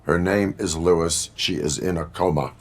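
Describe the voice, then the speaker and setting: An actor playing a stoic cyborg police officer in a video game, with a gravelly, robotic voice and flat, formal delivery. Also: male voice, deep voice, moderate pace, natural voice.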